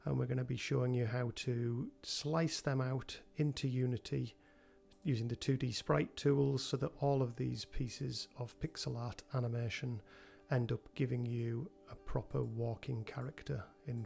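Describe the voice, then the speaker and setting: A man with a cold hosting a relaxed software tutorial, talking through each step with frequent ums. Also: mid-range voice, a little slow, gravelly, soft background music. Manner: relaxed, thinking aloud with many ums. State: has a cold. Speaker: game developer tutorial host. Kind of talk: screen-recorded software tutorial.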